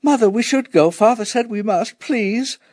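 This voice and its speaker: A man's voice: high voice, normal speed, darkish tone, dry recording, clean studio sound, pitched above the performer's own voice. A man voicing an eager young schoolboy with a pleading manner, in a storytelling narration.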